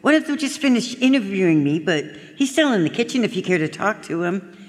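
A woman's voice: mid-range voice, sing-song, brisk, natural voice. A woman playing a chatty, plain-spoken household servant, deferential but gossipy, in a live radio drama. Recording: reverberant concert hall stage.

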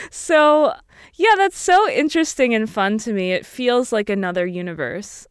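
A woman in her early thirties chatting casually as an interview guest, explaining things in a thoughtful, halting way. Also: on the high side, animated, clear, resonant tone, dry recording, clean studio sound. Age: early 30s. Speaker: podcast guest.

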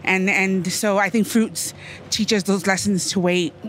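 A woman's voice: mid-range voice, steady tone, measured pace, a little hiss or hum. A poet from Los Angeles in her thirties, a woman speaking reflectively and lyrically as a guest in conversation. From Los Angeles, United States. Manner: lyrical and reflective, laughs easily.

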